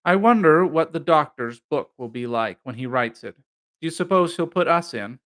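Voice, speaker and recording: A man's voice: on the high side, animated, normal speed, a bit bright, voice pushed up. A man voicing a playful young man with casual, dry humor in an audiobook novel. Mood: idle.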